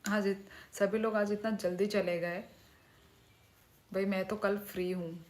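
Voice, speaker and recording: A woman's voice: medium pitch, fairly even intonation, unhurried, darkish tone. A woman in her thirties, a native Kokborok speaker, chatting casually with viewers on a livestream and reading their names aloud. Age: 30s. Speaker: livestream host.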